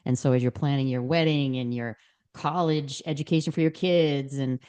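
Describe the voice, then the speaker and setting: A woman's voice: rumbling low, fairly even intonation, moderate pace, darkish tone, smooth. A woman, a podcast guest telling her story in an earnest way, with many fillers and restarts. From Boston, Massachusetts. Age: 60s. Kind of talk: podcast interview.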